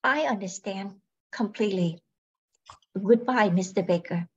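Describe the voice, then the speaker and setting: A woman's voice: mid-range voice, measured pace, darkish tone, breathy edge, muffled recording. A woman playing a plucky, polite young expectant mother seeking work in an old-time radio drama, earnest and formal. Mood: proud, cool. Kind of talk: 1940s radio drama acting.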